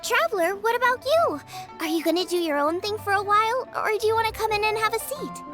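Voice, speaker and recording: A woman voicing a warm, bubbly teenage girl cook in a video game, with a girlish, eager and friendly delivery. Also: very high-pitched, fast talker. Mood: cheerful. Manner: friendly, expressive, enthusiastic. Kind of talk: video game character acting. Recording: children's music behind the voice.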